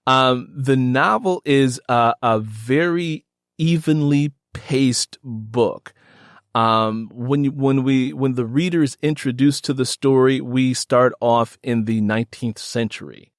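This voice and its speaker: A man's voice: medium pitch, takes it slowly, no echo, clean studio sound. A man hosting a casual interview, enthusiastic and emphatic, often repeating his phrases. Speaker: podcast host interviewing an author.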